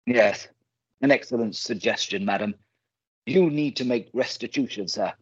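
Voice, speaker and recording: A man playing an earnest, righteous, protective gentleman in a radio play, speaking formally and theatrically. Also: mid-range voice, moderate pace, slightly rough, dry recording, highs cut off, close to an everyday voice. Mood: indignant.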